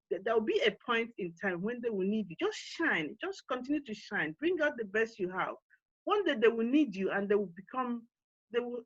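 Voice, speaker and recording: A woman's voice: fairly high pitch, husky, no room sound, dull audio. Earnest Nigerian woman on a video call. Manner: earnest, encouraging, with frequent fillers and restarts. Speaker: forum co-founder and CEO hosting an online summit. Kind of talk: panel talk and closing remarks.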